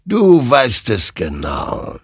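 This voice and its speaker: Spectral death rider for an audiobook man acting it out: husky, solemn, all-knowing, with dramatic swings in pitch. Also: wildly swooping pitch, no echo, clean studio sound, exaggerated voice. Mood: solemn, menacing. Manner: solemn, slow, commanding pronouncements.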